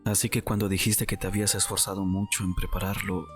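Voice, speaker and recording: A man acts out a young nobleman prince in an audiobook story. His voice is extremely low, and he sounds puzzled and questioning. Soft music plays behind him. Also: level tone, fast talker. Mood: grave. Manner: questioning, bewildered. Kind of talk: audiobook character dialogue. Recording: music under the voice.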